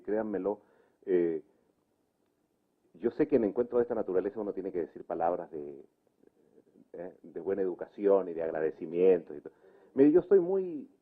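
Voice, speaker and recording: A low-voiced, husky man speaks off the cuff, closing a public debate as its moderator. His delivery is emphatic and conversational, heard through a narrow, phone-like recording.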